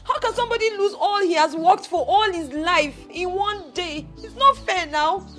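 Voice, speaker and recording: A woman's voice: very high voice, normal speed, fairly bright tone, faint music behind. An actress playing a distraught young woman, wailing and pleading. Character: distraught young woman, grieving fiancé's loss. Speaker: daughter.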